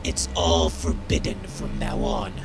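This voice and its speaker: A man's voice: low-pitched, measured pace, vocal fry, background noise. An actor playing a stern, jaded military sergeant in a game, cold, contemptuous and authoritative as he drills a recruit. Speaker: game character voice. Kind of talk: scripted game dialogue.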